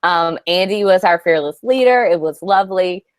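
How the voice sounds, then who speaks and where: A woman's voice: on the low side, normal speed, clean tone, no echo, studio quality. A woman chatting easily and cheerfully on a webinar call. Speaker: webinar panelist. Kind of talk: casual video call chat. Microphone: video call.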